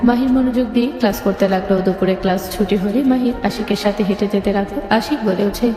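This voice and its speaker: A woman's voice: mid-range voice, quick pace. Echoey female story narrator with music. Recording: echoey long reverb, music bed.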